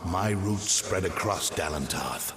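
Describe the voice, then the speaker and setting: An ancient, colossal tree guardian from a fantasy game. The voice is extremely low and unhurried, grave and cryptic, with stately phrasing. It rings out in a long reverb. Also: male voice, lively intonation, noisy background. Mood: solemn, watchful. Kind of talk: scripted fantasy game dialogue.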